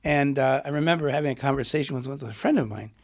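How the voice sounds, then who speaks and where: A man's voice: mid-range voice, fast talker. A middle-aged man, an author and poet, being interviewed and thinking aloud with a reflective, rambling manner. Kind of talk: conversational interview.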